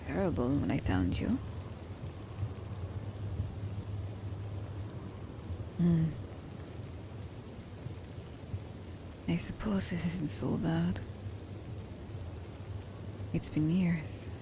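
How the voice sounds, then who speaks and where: Rain and thunder sound clearly behind a woman acting as a guarded female assassin in a romance ASMR audio drama. Her voice is soft and gravelly with vocal fry. She takes her time over each phrase, hesitant and reluctantly tender. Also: low voice, background noise, heavily acted. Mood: reluctantly affectionate. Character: reserved female assassin, grudgingly tender toward captive werewolf.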